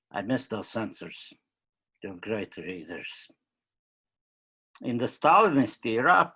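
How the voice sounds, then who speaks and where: An older man from Romania giving wry, deadpan opening remarks with many hesitations, on a webcam video call from a book-lined study at home. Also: mid-range voice. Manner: wry, deadpan humor with frequent uh fillers. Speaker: curator and poet. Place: home study with bookshelves, indoors.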